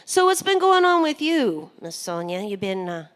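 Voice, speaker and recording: A woman's voice: medium pitch, big pitch swings, normal speed, fairly bright tone. A woman hosting a live radio show, joking and folksy with plenty of fillers.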